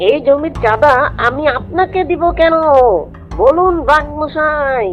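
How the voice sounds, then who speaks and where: Cartoon jackal played by a woman, very high voice, cheeky street hawker with an upright streak, lo-fi track with music. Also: a little slow. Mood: indignant, firm. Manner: sing-song, rhyming street-vendor delivery. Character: sly, hardworking jackal shrimp seller, cheeky but upright. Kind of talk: Bengali cartoon character dialogue. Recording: dull lo-fi audio with music behind.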